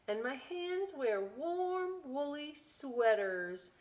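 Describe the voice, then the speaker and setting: A woman reading aloud to children as a story time host, expressive and drawn out, with chatty conversational asides. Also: sits high, very slow. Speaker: library story time reader.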